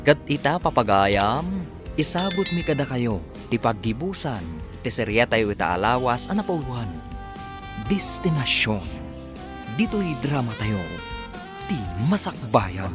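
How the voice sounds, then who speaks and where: A man's voice: medium pitch, sing-song, a little slow, long pauses, over music. A man with a northern Philippine accent, working as an announcer, reading a scripted radio drama introduction in a dramatic style.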